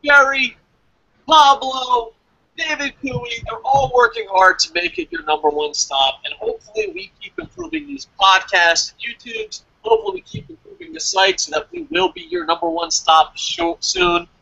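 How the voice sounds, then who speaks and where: Man, podcast host, very high voice, bright and forceful, casual wrestling chat, informal. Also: very animated, moderate pace, creaky, low-quality recording. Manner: profane, informal.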